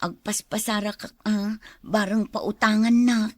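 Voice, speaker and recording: An actress playing a poor, devoted wife, earnest and pleading, in a radio drama. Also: female voice, medium pitch, steady tone, measured pace, no room sound, clean studio sound, close to an everyday voice. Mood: caring, determined.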